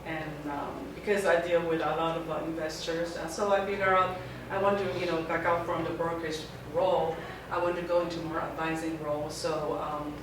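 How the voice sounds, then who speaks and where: A middle-aged woman from Taiwan giving an informal talk to a student group, speaking in halting phrases that often restart. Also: low voice, little pitch movement. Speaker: guest speaker. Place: lecture room. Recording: very echoey room, mic far off, background noise.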